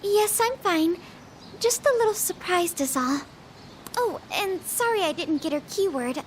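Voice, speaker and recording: An actress voicing a soft-spoken, very polite young woman who is a student and company heir in a game dub. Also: extremely high pitch, animated, unhurried, a little hiss or hum. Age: young adult. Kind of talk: video game voice acting. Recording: game cutscene with music behind.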